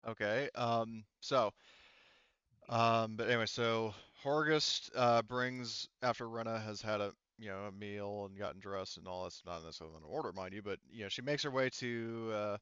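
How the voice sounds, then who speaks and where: A man with a clean, fairly low voice runs a tabletop game in a casual, informal manner. His storytelling is halting and loose, with some swearing. The sound is dry and slightly dulled. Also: a bit bright, clear, resonant tone, no echo, dull audio. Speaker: game master.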